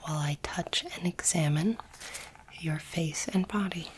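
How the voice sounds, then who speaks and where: Woman doing an alien doctor asmr, soft breathy whisper, low, formal and calm, noisy recording w little clicks. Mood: calm, clinical. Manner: measured, formal, clinical and soothing. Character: calm clinical alien space doctor examining a human specimen. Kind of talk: ASMR roleplay.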